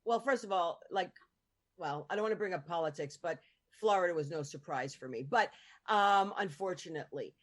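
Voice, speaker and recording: A woman's voice: fairly low pitch, a little fast. A woman from New Jersey hosting a casual interview and sharing frank opinions in a rambling way. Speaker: host.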